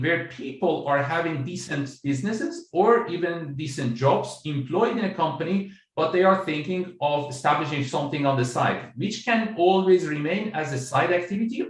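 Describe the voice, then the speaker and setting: A middle-aged man from Iran, an author and business coach, answering interview questions over a video call in a measured, explanatory way with many fillers. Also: on the high side, roomy sound, far from the mic, poor audio.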